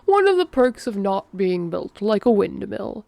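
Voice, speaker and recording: A woman voicing a calm, stubborn male alien ecologist who speaks slowly and deliberately. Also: medium pitch, vocal fry, no echo. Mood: firm. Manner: slow, deliberate and flatly dismissive.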